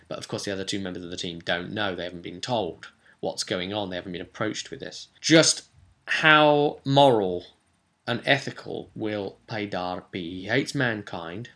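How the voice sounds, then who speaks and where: A man telling a casual recap of a tabletop role-playing session as its game master, with animated asides and rhetorical questions. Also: lowish voice, creaky.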